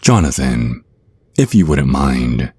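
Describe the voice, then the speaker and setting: A man playing a sinister vampire naga kidnapper in an ASMR roleplay, slow and deliberate, with menacing politeness and a husky voice. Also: very deep voice, very animated, darkish tone, dry recording, heavily acted. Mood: gloating menace.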